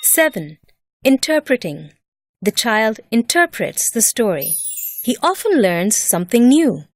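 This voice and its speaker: A woman narrating a scripted educational lesson in a measured, deliberate and expressive way, like a teacher explaining step by step. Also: a little high, no echo, clean studio sound, soft background music.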